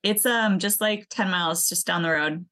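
A woman's voice: fairly low pitch, normal speed, fairly bright tone. Young woman from wisconsin on a podcast, friendly, says yeah and um a lot. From northern Wisconsin. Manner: friendly, laughing, fills with um and yeah. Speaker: guest. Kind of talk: casual interview.

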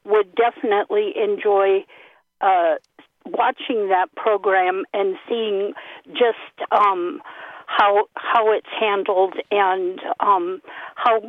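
Female radio caller, slow and hesitant, earnest, heard through a narrow tinny telephone line. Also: medium pitch, fairly even intonation, dry recording. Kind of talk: casual, earnest call-in remarks.

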